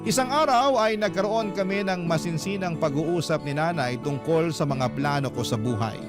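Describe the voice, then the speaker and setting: A man's voice: on the high side, moderate pace. A man narrating a scripted first-person letter as a radio storyteller, in an emotive storytelling style. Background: guitar music.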